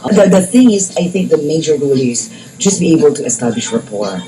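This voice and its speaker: A woman's voice: low voice, sing-song, a little fast, dark tone, rough recording. A woman from the Philippines, a life coach and therapist answering radio interview questions, explaining at length with fillers and bits of Tagalog. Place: radio studio. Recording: music playing underneath.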